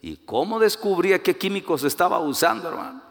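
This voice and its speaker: Animated Guatemalan preacher in a hall. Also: male voice, high-pitched, fairly quick. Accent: Guatemalan Spanish. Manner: animated, rises to emphatic peaks, acts out quoted voices. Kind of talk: sermon with personal anecdotes.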